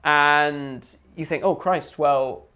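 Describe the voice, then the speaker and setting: A man's voice: fairly high pitch, fairly even intonation, slow, sharp tone, smooth. A man co-hosting a podcast, casually and animatedly retelling a TV plot and acting out the characters' lines. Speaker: podcast co-host. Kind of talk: casual chat.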